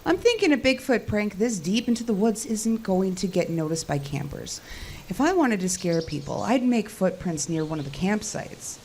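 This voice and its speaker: A woman's voice: mid-range voice, sing-song, normal speed, darkish tone, a little hiss or hum. A woman acting the part of a practical, skeptical technician in an audio drama, with a dry, matter-of-fact delivery. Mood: suspicious. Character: practical, skeptical, dry-witted female cell tower technician. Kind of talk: naturalistic audio drama dialogue.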